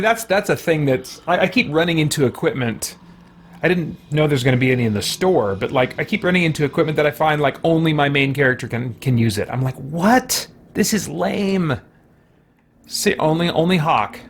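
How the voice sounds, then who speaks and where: A man's voice: a little high, a little hiss or hum. A man giving casual, joking gameplay commentary, rambling and muttering to himself as he plays on a livestream. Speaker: livestreaming game player, host. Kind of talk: casual gameplay commentary with put-on in-character quips.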